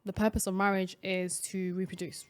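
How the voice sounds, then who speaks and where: A woman's voice: mid-range voice, flat delivery, a little fast, clean tone. A young woman from Somalia co-hosting a casual podcast chat, talking in a chatty way with many fillers and restarts. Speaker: podcast co-host.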